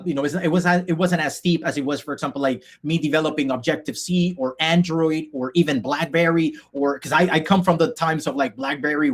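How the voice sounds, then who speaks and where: A man in his thirties from the Dominican Republic, a native Spanish speaker, teaching a live online coding workshop over a video call, upbeat, with many fillers and restarts. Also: fairly high pitch. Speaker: workshop instructor.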